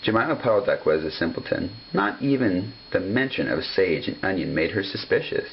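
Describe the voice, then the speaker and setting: A man's voice: deep voice, lively intonation, a little fast, gravelly. A man narrating a children's story from the page, on a dull home recording with some hum. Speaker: storybook reader.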